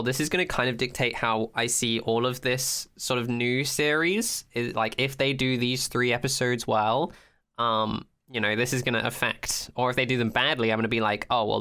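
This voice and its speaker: Bright, crisp-voiced man in blunt podcast chat. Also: medium pitch. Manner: blunt, swears freely. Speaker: podcast host.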